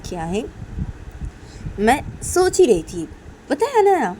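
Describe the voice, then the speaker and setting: A woman's voice: on the high side, huge pitch swings, takes it slowly, dark tone, slight background noise, pitched above the performer's own voice, exaggerated voice, poor audio. A woman voicing an exasperated mother in a story, nagging insistently and repeating herself. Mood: disappointed, reproachful. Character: nagging, exasperated, scolding mother of young women.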